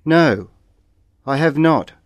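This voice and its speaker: A man reading scripted model interview answers aloud in a slow, carefully enunciated way, like a language course recording. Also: on the high side, lively intonation, dry recording, clean studio sound.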